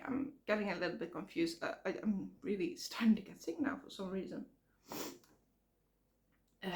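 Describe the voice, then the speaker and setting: A woman vlogging outdoors by a road, talking frankly in a halting way while she comes down with a cold and her voice cracks. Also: mid-range voice, vocal fry, darkish tone. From Netherlands. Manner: frank, halting, repeats words. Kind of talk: casual rambling vlog. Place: outdoors near traffic.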